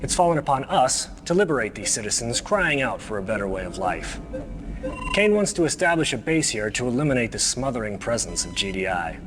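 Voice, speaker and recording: An actor playing a smug, menacing right-hand man of a cult leader in a game cutscene, deliberate and condescending. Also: medium pitch, very animated, close to an everyday voice. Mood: condescending, threatening. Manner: deliberate, condescending, coolly threatening. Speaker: villainous mission briefer. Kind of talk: video game cutscene acting.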